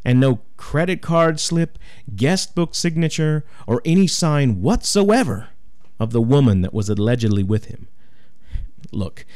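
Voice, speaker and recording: A man playing a businesslike small-town policeman going briskly through case details. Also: mid-range voice, animated, a little mellow, no room sound, clean studio sound, voice pushed up, close to an everyday voice. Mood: exasperated.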